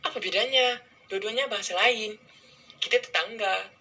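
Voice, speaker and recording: A woman's voice: medium pitch, normal speed, bright, forceful tone, breathy edge, poor audio. A woman from Malaysia talking casually in an easygoing, conciliatory way. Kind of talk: casual video chat.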